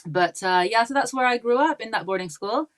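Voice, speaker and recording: A woman's voice: a little high, lively intonation, fairly quick. A woman in her forties who grew up in Pakistan, chatting as a podcast guest, talking readily and laughing easily between thoughts. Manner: chatty and warm, laughing often, many ums. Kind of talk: casual interview chat.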